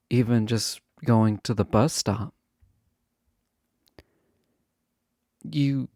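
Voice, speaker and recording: Man playing a slow, mellow, flirty college guy. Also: mid-range voice, no room sound, clean studio sound, exaggerated voice. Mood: inviting, shy.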